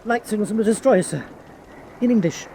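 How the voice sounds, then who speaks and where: An actor playing an anxious, dutiful young U-boat lieutenant in a radio drama, earnest and respectful. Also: male voice, high-pitched, animated, brisk, gravelly, dark tone, slight background noise. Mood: uneasy, dutiful. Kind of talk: vintage-style radio drama acting.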